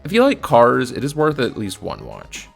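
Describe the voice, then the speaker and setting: A man's voice: medium pitch, wildly swooping pitch, moderate pace, gravelly, slightly rough. A man narrating a casual, scripted movie review in a blunt, sometimes profane way. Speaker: video reviewer. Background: faint background music.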